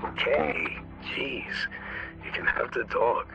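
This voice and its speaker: A man's voice: medium pitch, animated, takes it slowly, music in the background. An actor playing a friendly, wry male survivor in a video game, talking in a familiar, casual way. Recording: muffled game audio, clearly noisy background.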